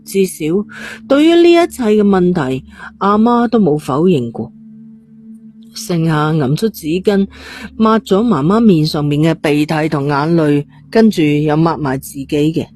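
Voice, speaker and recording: A woman's voice: low-pitched, animated, unhurried, darkish tone. A woman with a Cantonese accent reading a suspense novel as a scripted, dramatic storyteller. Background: faint music.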